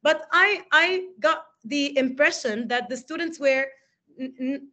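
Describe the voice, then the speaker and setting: A woman's voice: high-pitched, bright, forceful tone. Lebanese woman lecturing on a video call. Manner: reflective, asks many rhetorical questions. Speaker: English lecturer. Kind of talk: webinar lecture.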